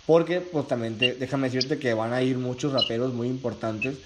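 A young man with a Mexican accent chats casually on a prank call. His voice sits at a middle pitch, picked up across a small room with some noise. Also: fairly even intonation, moderate pace. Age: young adult. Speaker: prank caller, video host. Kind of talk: casual prank phone conversation, improvised. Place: small bedroom, indoors.

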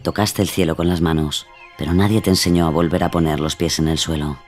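An insistent inner voice in an audio drama. The male voice is low and quick, with clear music underneath. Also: steady tone, darkish tone. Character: insistent man, an inner voice addressing an aviator.

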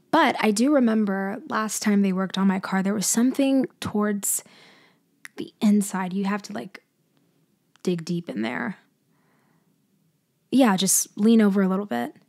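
A woman playing a cool, teasing, controlling mafia leader in an ASMR roleplay, speaking deliberately in a murmur that often drops toward a whisper. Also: mid-range voice, creaky, no echo. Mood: coy, playful. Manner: slow, deliberate, softly taunting, often dropping to a whisper. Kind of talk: ASMR roleplay audio acting.

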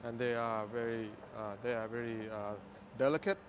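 A middle-aged diplomat chatting thoughtfully while walking through a busy conference hall, with frequent fillers. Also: male voice, mid-range voice, steady tone, normal speed, background noise. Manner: thoughtful, hesitant, uses many fillers. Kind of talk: casual walking chat.

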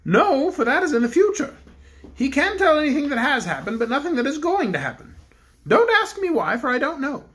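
A woman voicing a stately, kindly male bear king who speaks in a measured, courtly way. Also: sits high, very animated, voice pushed up.

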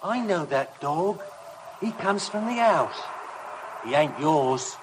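A man's voice: a little high, expressive, takes it slowly. An actor playing a simple-minded, fearful rustic man in a 1950s radio drama, plaintive and childlike. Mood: wary, muttering. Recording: faint noise on old radio recording.

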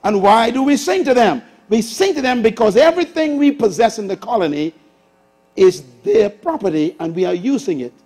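Bahamian male preacher, sixties, high voice, very lively delivery, stage lapel mic. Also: normal speed, slightly rough. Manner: lively preacher, shifts from loud bursts to hushed asides. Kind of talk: sermon. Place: large church auditorium stage with audience.

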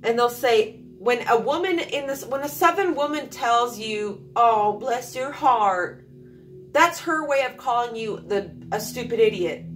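A woman's voice: on the high side, lively intonation, normal speed, hardly any pauses, far from the mic, soft background music. A young woman from Alaska chatting casually to camera at home, rambling with many fillers. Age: young adult. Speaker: vlogger. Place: small room at home, indoors.

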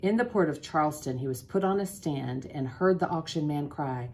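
Low, creaky-voiced woman reading a storybook. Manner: deliberate, expressive storytelling with questions to listeners. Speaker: school principal, guest storybook reader. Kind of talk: children's picture book read-aloud with asides. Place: small office, indoors.

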